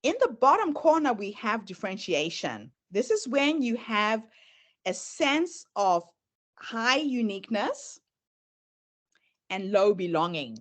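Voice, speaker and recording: A woman's voice: medium pitch, sing-song, takes it slowly. A middle-aged woman whose first language is Shona, speaking with a Zimbabwean accent tinged with American, giving an earnest presentation and sharing her own story as she moves through slides. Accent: Zimbabwean, mixed with American. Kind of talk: presentation talk.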